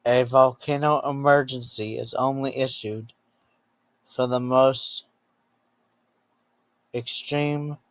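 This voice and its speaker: Male announcer, emergency bulletin reading, drawn-out halting pace, self-corrections, dry close sound. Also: medium pitch, fairly even intonation, no echo. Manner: halting, word by word, often repeats and rephrases phrases. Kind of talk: scripted emergency alert narration for a fictional scenario.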